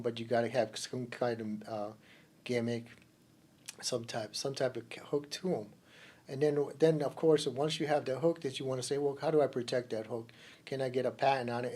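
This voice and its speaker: A male lawyer from Cuba hosts a radio segment, talking loosely and explaining as he goes. His voice sits at a medium pitch, and he often trips over his words and starts his phrases again. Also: darkish tone. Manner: hesitant, often restarting phrases. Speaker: lawyer hosting a radio program. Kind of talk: informal explanatory radio talk.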